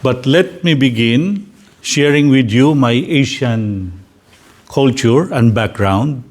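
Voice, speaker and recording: A man with a Filipino English accent, a priest leading a slow, gentle guided reflection for a gathering. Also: medium pitch. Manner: slow, deliberate, gently inviting.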